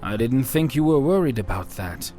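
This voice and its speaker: A man voicing an earnest, deliberate hero who is worried about a coming war. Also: medium pitch, animated, warm tone, faint music behind, pitched above the performer's own voice, natural voice.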